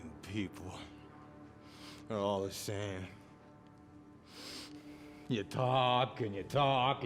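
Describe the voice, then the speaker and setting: A defiant, mocking drunk in a film drama. His voice sits fairly high and swings widely, drawling slowly and erratically before breaking into shouts. Music plays clearly behind him.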